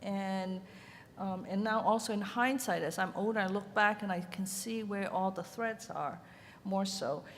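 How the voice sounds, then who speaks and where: A woman's voice: mid-range voice, measured pace. An older woman from Hong Kong, a native Chinese speaker, giving a candid and chatty panel talk about her ceramic art. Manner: candid, chatty, laughs easily. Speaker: ceramic artist presenting at a panel. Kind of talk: conference talk. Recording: reverberant hall with faint noise.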